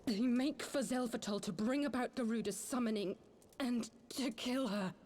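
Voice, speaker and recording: An actress playing a wounded young noblewoman, weak and breathy, straining to speak with urgency. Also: fairly high pitch, normal speed, a little mellow, natural voice. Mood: weak, urgent. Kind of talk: video game voice acting, dramatic dialogue.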